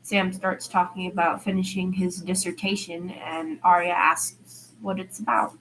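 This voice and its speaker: A woman's voice: on the low side, level tone, a little slow, a bit bright, slight background noise, poor audio. A woman narrating a story as an amateur audiobook reader, informal, with the occasional small stumble. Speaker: podfic narrator. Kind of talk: amateur fiction reading with character dialogue.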